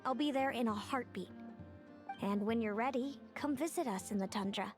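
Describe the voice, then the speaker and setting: A woman playing a gentle, composed young sister with a prophetic gift in video game dialogue, speaking in a measured way. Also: a little high, soft background music, close to an everyday voice. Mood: tender, composed.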